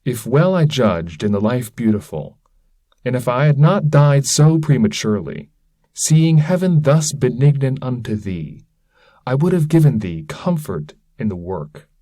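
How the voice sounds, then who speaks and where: A man's voice: mid-range voice, sing-song, a little slow, dark tone, dry recording. A man voicing a damned scholar's spirit, a fatherly, bitter old mentor who speaks in grave, archaic oratory within a poetry reading. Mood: fond.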